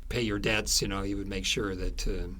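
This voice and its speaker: A man being interviewed as an author, telling stories conversationally in halting, explanatory phrases with thoughtful pauses. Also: on the low side, little pitch movement, pushed and bright.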